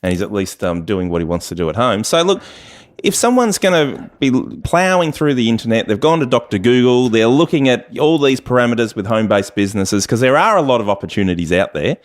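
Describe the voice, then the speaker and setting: A man's voice: mid-range voice, animated, brisk. A man hosting a radio chat, interviewing guests in a conversational style. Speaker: radio show host.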